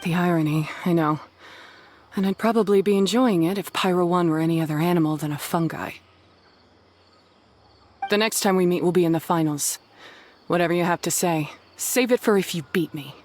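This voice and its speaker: A woman's voice: lowish voice, fairly quick, pauses often, darkish tone, natural voice. A woman voicing a proud, bitter young rival in a video game, curt, defensive and sardonic. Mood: guarded, bitter.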